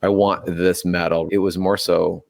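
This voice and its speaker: Man in his 40s, very low voice, casual rambling interview talk, restarts a lot. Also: flat delivery, unhurried, gravelly. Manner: rambling, self-interrupting, earnest. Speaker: podcast guest, triathlete and biology teacher.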